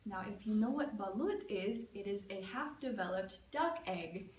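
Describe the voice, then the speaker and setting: A woman's voice: medium pitch, lively intonation, normal speed, a little hiss or hum. A young American woman tells a personal story as a prepared speech, in a casual, chatty way full of "like" and "um". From United States. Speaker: student giving a speech. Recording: echoey room, mic at a distance, faint ambience.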